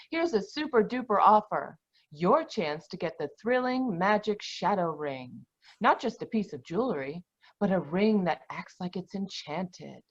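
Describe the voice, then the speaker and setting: A woman's voice: medium pitch, husky. A woman announcer reading a 1940s-style radio commercial with salesy delivery, on dull lo-fi audio. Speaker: advertisement announcer.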